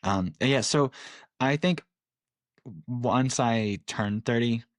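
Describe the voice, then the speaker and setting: A man's voice: mid-range voice, slow pace, no room sound, studio quality. A man in his late twenties, a podcast guest, talking candidly and reflectively with frequent laughs. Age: 20s. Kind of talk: casual interview.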